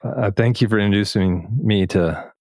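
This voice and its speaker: A man hosting a podcast interview in a conversational way, appreciative and gentle, feeling his way through his phrasing. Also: lowish voice, normal speed, no echo, studio quality. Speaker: podcast host.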